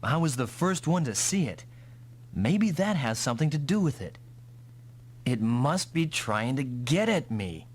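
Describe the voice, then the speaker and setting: An actor playing an earnest male mech pilot hero with a husky voice in an anime-style game dub. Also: medium pitch, big pitch swings, measured pace. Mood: determined.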